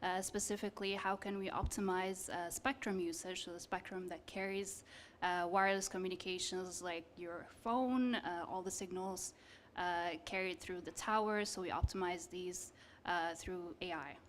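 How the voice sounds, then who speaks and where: A woman's voice: on the low side, steady tone, normal speed, slight background noise. A young woman from Egypt, a PhD candidate on a panel, explaining her work with frequent fillers. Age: young adult. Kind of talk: panel discussion.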